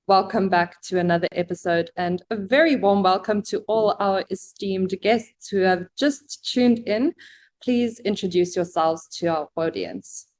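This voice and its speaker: A young woman hosts a panel talk show, reading her questions in a formal, measured way. Her voice sits at an ordinary middle pitch. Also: darkish tone. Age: young adult. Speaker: host. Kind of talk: scripted panel hosting.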